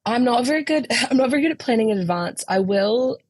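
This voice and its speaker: A woman's voice: a little high, expressive, quick pace, breathy edge, no room sound. A young adult woman comedian with a New Zealand accent, chatting in a rambling, filler-heavy way on a podcast. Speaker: comedian guest. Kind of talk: casual podcast chat.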